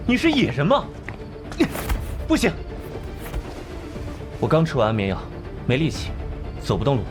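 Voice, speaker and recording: An actor playing a cold, sharp-tongued forensic genius in an animated drama, disdainful and easily irritated. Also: male voice, mid-range voice, normal speed. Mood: indignant. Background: music.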